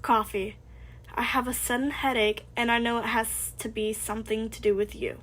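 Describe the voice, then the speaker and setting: A woman voicing a tired, grumpy older man with a flat, weary delivery. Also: a little high, moderate pace.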